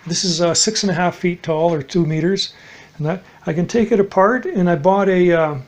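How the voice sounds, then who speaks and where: A man with a fairly high-pitched voice talks through a homemade project. His delivery is unscripted and halting, with a matter-of-fact manner and phrases that tend to trail off. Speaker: DIY hobbyist presenter. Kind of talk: casual unscripted project demonstration.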